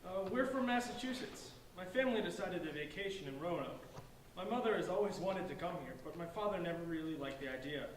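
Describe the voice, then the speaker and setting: A man's voice: high voice, animated, a little fast. A man playing a polite, bookish fifteen-year-old outsider with an earnest, wordy manner in a staged play reading. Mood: polite, awkward. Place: large echoey indoor room, distant microphone. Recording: echoey room from far off, faint noise.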